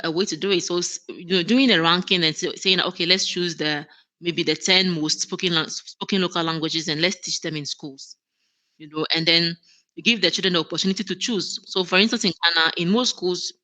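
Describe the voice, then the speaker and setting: A woman's voice: fairly low pitch, bright, forceful tone. A woman guest in an interview, speaking earnestly with frequent restarts and easy laughter. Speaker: guest, operations manager. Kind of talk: conversational interview.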